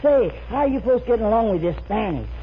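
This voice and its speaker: A woman's voice: a little high, expressive, fast talker, phone-quality sound, lightly acted. Woman as sitcom housekeeper: teasing, exclamatory, good-natured, 1950s radio style on a dulled, noisy recording. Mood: teasing, cheerful. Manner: exclamatory, teasing comic delivery. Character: good-natured, wisecracking woman housekeeper pining for her boyfriend.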